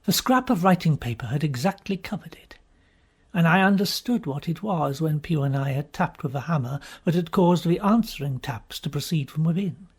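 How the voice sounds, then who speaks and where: Male storyteller for audiobook fiction, husky and breathy, theatrical delivery with emphatic pauses. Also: a little high, lively intonation, darkish tone. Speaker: audiobook reader. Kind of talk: dramatic first-person storybook narration.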